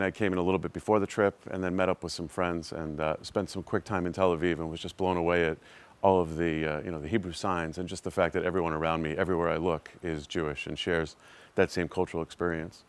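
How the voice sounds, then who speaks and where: A man in his forties with an American accent, giving an unscripted, reflective and earnest personal account. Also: sits low. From Massachusetts, United States. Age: 40s. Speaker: trip participant giving a testimonial.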